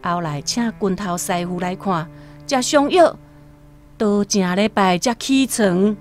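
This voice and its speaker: A woman with a Taiwanese accent narrating a literary radio drama as an expressive storyteller. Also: mid-range voice, measured pace, soft background music.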